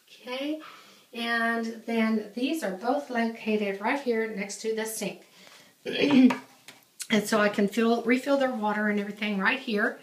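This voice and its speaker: A woman's voice: on the high side, measured pace, slight background noise. A woman giving a casual home tour of her laundry room, talking while filming with a handheld camera, with many ums and restarts. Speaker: home organizing vlogger. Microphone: handheld camera, off screen. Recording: echoey small tiled room.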